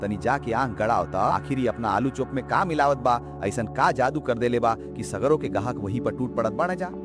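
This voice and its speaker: A man's voice: medium pitch, expressive, normal speed, music in the background, close to an everyday voice. A man playing an envious, scheming village shop owner in a cartoon, agitated and complaining, with a Bhojpuri accent. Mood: scheming, bossy. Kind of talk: animated moral story dialogue.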